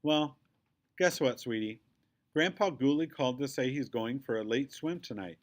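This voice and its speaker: A man voicing a caring mother who gently checks on her young son in a children's story. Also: mid-range voice, normal speed, lightly acted. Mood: coaxing.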